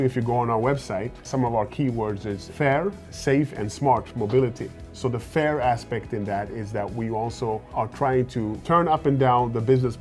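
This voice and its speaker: Low-voiced earnest man in his forties. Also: a little mellow. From Sweden. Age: 40s. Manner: earnest, fills pauses with "uh" and repeats words while thinking. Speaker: startup founder, guest speaker. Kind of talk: fireside chat interview. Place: event stage at a fireside chat.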